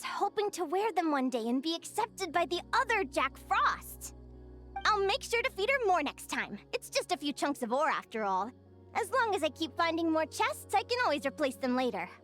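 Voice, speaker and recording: A woman playing a cheerful, curious young adventurer and naturalist in a video game, speaking in a bubbly, earnest and expressive way. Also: sits high. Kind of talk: animated video game character acting.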